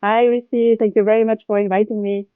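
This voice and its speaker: A woman's voice: mid-range voice, level tone, quick pace, no room sound, narrow, tinny audio. A woman from France with a French accent, a native French speaker with a slight cold, talking conversationally as a guest expert in an interview. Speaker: guest expert, baby planner.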